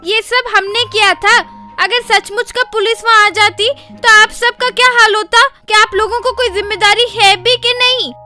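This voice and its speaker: A woman's voice: very high voice, steady tone, normal speed, sharp tone, faint music behind. A woman voicing an earnest, outspoken village schoolchild in a cartoon children's story. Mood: reproachful.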